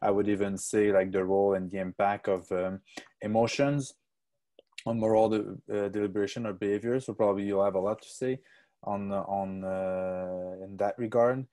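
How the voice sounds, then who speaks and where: A man moderating an online seminar, introducing the next speaker with a lot of fillers and pauses. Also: on the low side, fairly even intonation, warm tone. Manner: halting, many fillers. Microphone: video call.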